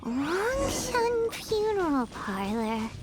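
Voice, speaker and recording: An extremely high, squeaky little fairy girl in a video game. Her childish, whiny delivery swoops wildly and takes its time, over background music. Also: sing-song, drawn-out words, very noisy, heavily acted. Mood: puzzled, uneasy. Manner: squeaky, childish, speaks of herself in third person. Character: tiny childlike female fairy companion, whiny and frank. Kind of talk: English video game voice acting.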